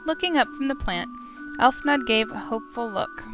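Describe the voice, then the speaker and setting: Female narrator over clear mallet music. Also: a little high, expressive, normal speed. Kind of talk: scripted storybook narration of a visual novel. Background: melodic mallet music.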